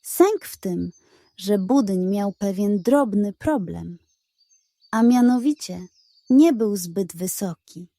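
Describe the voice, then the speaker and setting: A woman narrating a scripted children's bedtime story with expressive, deliberate phrasing. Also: mid-range voice, dry recording.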